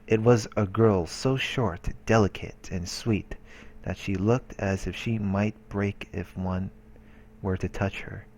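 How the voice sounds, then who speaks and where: Deep-voiced man reading game narration. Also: fairly even intonation, slow pace, darkish tone, a little hiss or hum. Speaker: let's play host. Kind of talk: reading visual novel narration aloud. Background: game background music.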